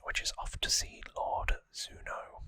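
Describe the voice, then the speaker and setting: ASMR host: unvoiced, sibilant-bright whisper, casual rambling delivery, very dry close-mic sound. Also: measured pace, no room sound. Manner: hushed, relaxed, rambling casual explanation. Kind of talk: whispered ASMR trivia recap. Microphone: close microphone.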